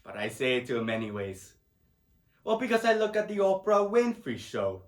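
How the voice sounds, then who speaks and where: A proud, tender, grieving middle-aged Puerto Rican father, acted by a man in a dramatic monologue. His voice is high and swings widely, with a Spanish accent and rambling broken English. It sounds in an echoey room. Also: very animated, moderate pace. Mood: fond, wistful. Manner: broken English, rambling, tags phrases with "huh?".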